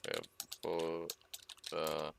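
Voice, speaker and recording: A young man streaming a game live, talking loudly and rambling, often breaking off mid-word. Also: a bass voice, big pitch swings, drawn-out words, noisy background. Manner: loud, rambling, self-interrupting. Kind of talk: casual live-stream chatter while gaming. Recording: clear keyboard typing behind voice.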